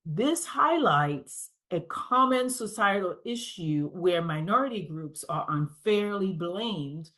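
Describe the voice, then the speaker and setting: Slow, measured woman with a Haitian accent. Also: lowish voice, expressive, sharp tone. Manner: measured and deliberate, as if reading. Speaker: conference speaker. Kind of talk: prepared conference presentation.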